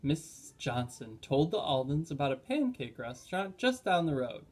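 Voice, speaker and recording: Male storyteller for a children's chapter book, voice pitched a little high. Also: big pitch swings, moderate pace. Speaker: teacher reading a children's book aloud. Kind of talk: scripted story narration, read-aloud.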